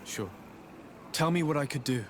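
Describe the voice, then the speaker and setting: Guy doing a polite confident noble hero in a game, slightly high male voice, background noise. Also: normal speed, lightly acted. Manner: polite, crisp, formal. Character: courteous, helpful male noble envoy, confident adventurer. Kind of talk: video game cutscene acting.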